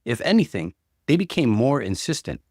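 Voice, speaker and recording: A man narrates a personal story as a scripted dramatic reading. His pitch swings widely with expressive delivery, and the sound is dry and free of echo. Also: moderate pace. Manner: expressive, acts out dialogue.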